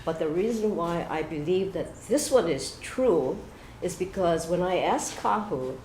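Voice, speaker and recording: Woman at church talking slow and earnest, laughs easy, echoey hall, faint noise. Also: lowish voice, animated, darkish tone. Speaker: church speaker. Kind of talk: church talk.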